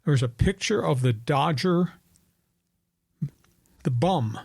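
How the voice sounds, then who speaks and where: Gravelly older man, sports radio host. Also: on the high side, expressive, takes it slowly, darkish tone, no room sound, clean studio sound. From New York. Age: 70s. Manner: storytelling, opinionated, rambling with restarts. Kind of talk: sports talk radio chat.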